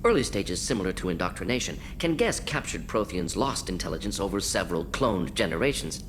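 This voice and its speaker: A man voicing a brilliant, restless male alien scientist in a video game, talking in clipped, telegraphic bursts and earnest rapid-fire lists. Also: sits low, sing-song, fairly bright tone, slight background noise. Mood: grave, emphatic.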